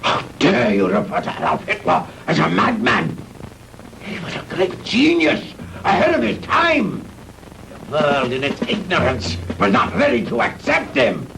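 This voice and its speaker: An actor playing a proud, fanatical mad scientist with a German accent, courteous and condescending, in 1960s B-movie style. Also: male voice, high voice, wildly swooping pitch, creaky, heavily acted. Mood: fanatical outrage. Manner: grandiose, condescending, deliberate. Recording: noisy old 1960s film soundtrack.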